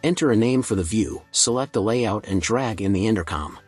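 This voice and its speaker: A man reading a scripted software tutorial in a measured, procedural instructional manner. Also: lowish voice, breathy edge, soft background music.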